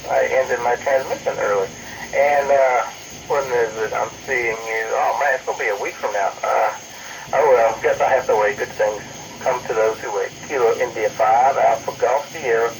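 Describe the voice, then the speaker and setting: Man, mid-pitched, casual ham radio operator, heard through a radio speaker in a noisy recording. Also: steady tone, normal speed. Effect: two-way radio transmission. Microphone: radio transmission recorded off the speaker.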